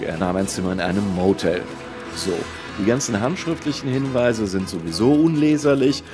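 Male radio researcher-host, low voice, dry and conversational, clear music bed. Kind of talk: casual, conversational documentary narration.

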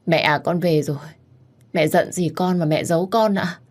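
A woman's voice: deep voice, fairly quick, a little mellow, slightly rough. Woman acting a pleading, heartbroken young daughter. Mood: desperate pleading.

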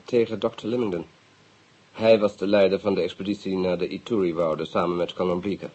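An actor playing a polite, reserved British police inspector in a radio drama, with an English accent, a husky voice and a hesitant, guarded manner. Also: male voice, sits low, steady tone, fast talker, a little mellow, low-quality recording. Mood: matter-of-fact.

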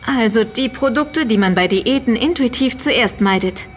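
A woman playing a sharp-witted investigator in an audio drama, speaking in an articulate, questioning way. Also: on the high side, normal speed, a little hiss or hum, natural voice. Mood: attentive. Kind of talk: German audio drama dialogue.

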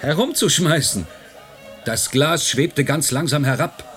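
A man's voice: a little high, lively intonation, unhurried, fairly bright tone, soft background music. An actor in a radio play voicing a weary, tormented man who broods with dramatic intensity. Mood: agitated. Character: tormented, brooding man cut off in his own time. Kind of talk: German radio play acting.

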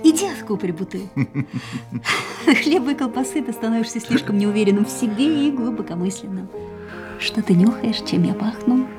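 Actress as high-voiced, dreamy, teasing woman in love. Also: expressive, moderate pace, over music, lightly acted. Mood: playful, wry. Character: dreamy, playful, wryly independent woman in love. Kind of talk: radio play acting.

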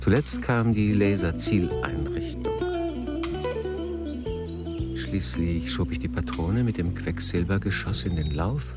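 Deep-voiced, obsessive middle-aged man. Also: sing-song, loud music behind the voice. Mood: grim, controlled. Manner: measured, literary, deliberate phrasing. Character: Patrick, obsessive middle-aged man turned would-be killer, tightly controlled. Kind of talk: radio drama acting with first-person narration.

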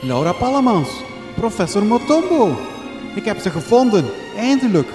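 A bewildered, agitated hero played by a man exclaims in a high, widely swinging voice. It is a gothic radio play, echoing with music behind. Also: sing-song, moderate pace. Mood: shocked, agitated. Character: excitable, bewildered male hero facing horror. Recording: echoey hall, music behind.

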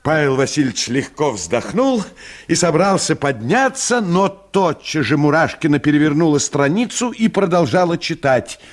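A man reading a comic short story for radio as an expressive, theatrical narrator. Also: fairly high pitch, normal speed.

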